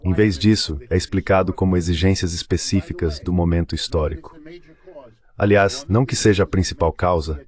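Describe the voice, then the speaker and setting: A man's voice: low-pitched, fairly even intonation, a little fast, darkish tone. A man with a Brazilian accent reading a translated interview as a voice-over.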